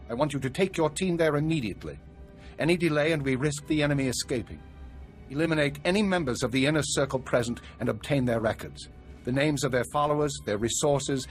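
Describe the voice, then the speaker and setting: An actor playing a weary, authoritative older spymaster in a video game, with measured, formal delivery. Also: male voice, medium pitch, lightly acted. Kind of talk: cinematic video game acting.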